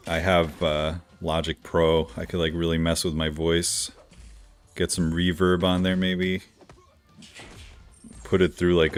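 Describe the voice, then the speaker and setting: A man streaming a game live, chatting casually with viewers, with a smooth radio-like voice and a slightly stuffy nose from allergies. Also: low voice. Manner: relaxed, chatty, greets viewers by name. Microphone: decent studio microphone.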